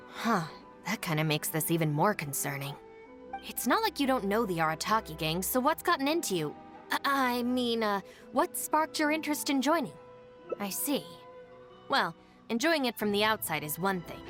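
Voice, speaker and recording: A woman performs a wry, no-nonsense young second-in-command for a video game. Her voice sits at a medium pitch and stays matter-of-fact, with music faintly behind it. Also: expressive, moderate pace, fairly bright tone, natural voice. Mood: businesslike. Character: level-headed, dry young female gang deputy.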